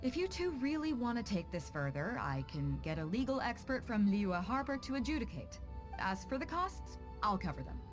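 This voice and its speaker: A commanding video game sea captain, a woman with a fairly high and very lively voice. She is confident, easygoing and plain-spoken, with music behind her. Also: normal speed. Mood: frank, decisive.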